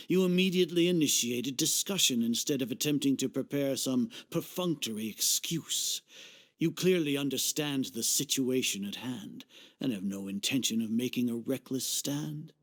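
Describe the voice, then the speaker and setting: An actor playing a pompous, coldly menacing older grand sage in a video game dub, formal and condescending, with a husky voice. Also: male voice, mid-range voice, fairly bright tone.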